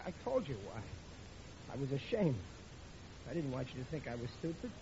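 A man's voice: medium pitch, sing-song, a little fast. An actor playing a blustery, bumbling working-class husband and father who stammers and mangles his grammar in an old radio sitcom. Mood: sheepish. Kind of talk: 1940s-50s radio sitcom acting. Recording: dull old radio recording, noisy audience.

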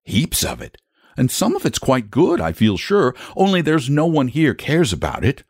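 Casting: male reader as frank young storybook boy, pitched way up, earnest, polite, very animated. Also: normal speed, husky, dry recording, clean studio sound, heavily acted. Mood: confiding. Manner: exasperated-sensible, polite, emphatic. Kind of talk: storybook character voice.

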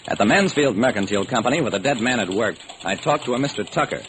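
An actor playing a brisk, determined hard-boiled male private detective, narrating and acting in a classic radio crime drama. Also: mid-range voice, expressive, a bit bright, a little hiss or hum, natural voice. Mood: wry, brisk. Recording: old, slightly rough radio recording.

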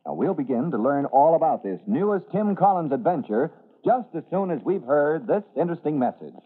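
A man's voice: on the high side, very animated, a little fast. A man narrating an old-time radio adventure serial as announcer, in a dramatic, theatrical manner. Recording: muffled, tinny old radio recording.

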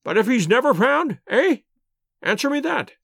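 A crackling, querulous old lord. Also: male voice, very high voice, very animated, creaky, slightly rough, no room sound, clean studio sound, pitched above the performer's own voice, heavily acted. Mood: irate, miserly. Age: older. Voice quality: sharp crackling voice. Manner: quick, intense, scolding. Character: querulous stingy little old nobleman. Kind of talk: audiobook character voice.

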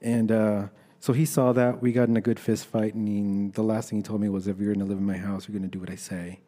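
A man's voice: lowish voice, flat delivery, quick pace, dark tone. A middle-aged man from Los Angeles with an American accent, telling stories about himself in a candid, conversational way as an interview guest. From Los Angeles, United States. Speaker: guest, army veteran.

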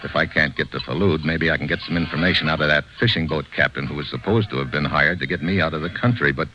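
A man's voice: a bass voice, fast, bright, forceful tone. An actor with an American accent playing a tough, wisecracking man on the run who narrates his own radio adventure in clipped slang. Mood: tense narration. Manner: clipped, slangy, hard-boiled. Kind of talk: 1950s radio adventure acting, hard-boiled narration. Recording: noisy, muffled old radio recording.

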